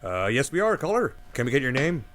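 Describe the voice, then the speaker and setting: A bumbling talk-radio host, flustered and lively, a faint mains hum behind. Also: male voice, medium pitch, fairly quick. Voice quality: rambling, excitable, flustered. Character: bumbling male conspiracy-theorist radio host.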